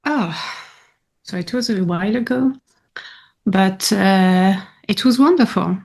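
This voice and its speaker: A woman from France with a French accent, a guest chatting in an interview, warm and chatty with many fillers. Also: medium pitch, takes it slowly, gravelly, a little hiss or hum. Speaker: guest, painter and advocate. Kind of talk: casual interview conversation.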